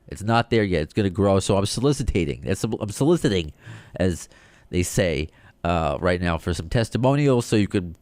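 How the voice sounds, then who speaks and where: A man from the United States hosting a solo podcast, talking in an excited, rambling way with many restarts. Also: low-pitched, no echo, clean studio sound. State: short on sleep. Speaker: podcast host.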